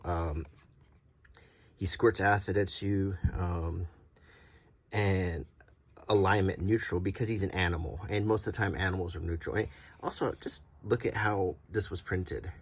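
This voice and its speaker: A middle-aged man doing a casual, unscripted show-and-tell as a video host, talking in a halting, rambling, conversational way. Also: low voice, fairly even intonation, husky, no room sound. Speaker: YouTube host showing vintage tabletop game monster cards.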